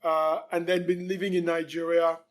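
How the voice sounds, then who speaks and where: A man in his fifties from Cameroon, telling his life story as a guest in a relaxed interview, pausing often. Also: a little high. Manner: relaxed storytelling, frequent pauses with um. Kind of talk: conversational interview.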